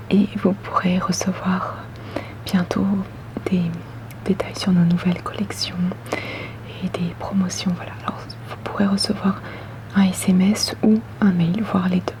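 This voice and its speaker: A woman whispering softly and breathily in an ASMR roleplay as a polite, attentive boutique saleswoman, gentle and unhurried. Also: medium pitch. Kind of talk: ASMR roleplay, customer service.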